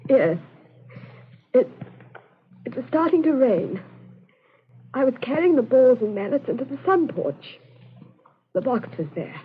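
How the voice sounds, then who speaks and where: An actress playing a frightened, distraught daughter who speaks in halting, pleading repetitions in a 1940s radio drama. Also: female voice, high voice, sing-song. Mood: shaken, halting. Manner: pleading, halting, repeats herself. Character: distraught, frightened daughter confessing to her stern father. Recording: noisy, tinny 1940s radio broadcast.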